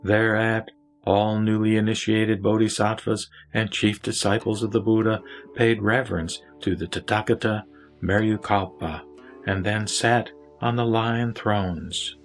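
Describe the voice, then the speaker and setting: A man narrating a sacred text in a slow, measured, solemn reading. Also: lowish voice, fairly even intonation, soft background music. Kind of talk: scripted sutra narration.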